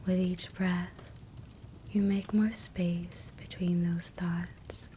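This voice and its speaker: Slow, whispering woman guiding sleep meditation. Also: lowish voice. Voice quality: soft, breathy, whispered. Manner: gentle, soothing, tender. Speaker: sleep hypnosis guide. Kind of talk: guided sleep meditation, hypnotic ASMR. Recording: soft steady fireplace crackle noise. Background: fireplace ambience.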